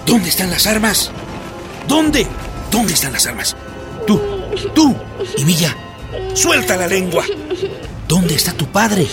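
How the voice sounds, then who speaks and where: An actor playing a menacing soldier who barks and badgers in a radio drama. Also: male voice, high-pitched, wildly swooping pitch, slow, pauses often, over loud music, full character voice. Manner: barking, badgering orders. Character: menacing soldier interrogating a poor family at night.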